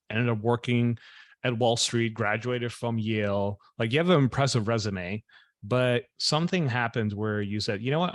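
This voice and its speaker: A man's voice: fairly low pitch, no echo. A man hosting a podcast, introducing and interviewing a guest in a conversational presenter style.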